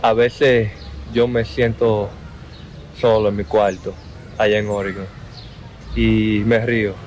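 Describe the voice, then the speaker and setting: A young man in his twenties with a Dominican accent, speaking in an interview in a slow, halting way that repeats words. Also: lowish voice, little pitch movement, a little mellow, slight background noise. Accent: Dominican Spanish. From Dominican Republic. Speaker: basketball player interviewed. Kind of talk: outdoor interview.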